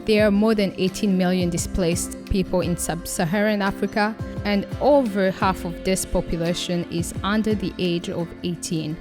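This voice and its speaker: A woman reading scripted promotional narration for a charity in a slow, solemn, deliberate way. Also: mid-range voice, steady tone, over music.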